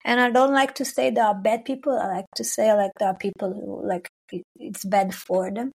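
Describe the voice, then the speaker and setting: A woman's voice: medium pitch, steady tone, normal speed, vocal fry, husky. Guest spot: young woman, English, searching for words, lots of "like", easy laughs. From Israel-Palestine. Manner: warm, searching for words, frequent "like" and "you know", laughs often. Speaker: singer, guest. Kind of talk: casual conversational interview.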